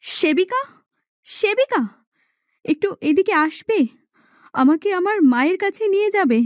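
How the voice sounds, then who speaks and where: A woman's voice: very high voice, animated, measured pace, no room sound, clean studio sound, pitched above the performer's own voice. A woman voicing a lonely, fearful, wistful young blind princess in a melodramatic cartoon, plaintive and questioning. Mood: calling. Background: background music.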